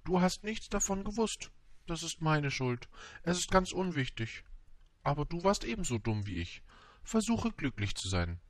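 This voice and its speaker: A man voicing a proud, gentle and stubborn female flower in a storybook, tender yet vain. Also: fairly high pitch, very animated, no echo, pitched above the performer's own voice. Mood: tender, apologetic. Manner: tender yet vain, brisk when hurt.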